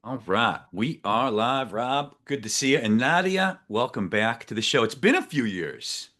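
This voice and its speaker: A man's voice: mid-range voice, animated, a little slow, a bit bright. A man hosting an interview show, upbeat and friendly, with plenty of "uh" fillers. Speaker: show host.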